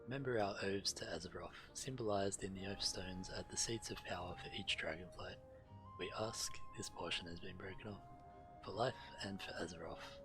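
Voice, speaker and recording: A man's voice: sits low, moderate pace. A man streaming a video game, reading quest text aloud and adding casual commentary. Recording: game music playing behind. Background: video game music.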